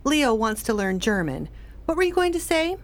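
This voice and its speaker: An actress playing a young expectant wife, earnest and fretful with a whimsical streak, in a radio play. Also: female voice, on the high side, very animated, moderate pace. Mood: matter-of-fact. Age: young adult. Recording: faint background noise.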